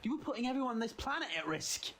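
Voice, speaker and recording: A man playing a quirky, quick-witted time traveller in an audio drama, flippant yet assertive. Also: extremely high pitch, sing-song, noisy background, full character voice. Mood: defiant.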